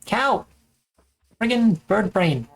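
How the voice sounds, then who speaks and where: A woman's voice: mid-range voice, animated, takes it slowly, creaky. A woman from Germany with a German accent, chatting casually and rambling with self-mocking humor while she streams a game. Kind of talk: casual gaming chat.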